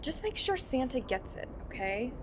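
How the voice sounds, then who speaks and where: A woman's voice: high-pitched, very animated, moderate pace, a little hiss or hum. A woman playing an outspoken, caring but skeptical young girl in an audio play. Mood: hesitant. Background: music.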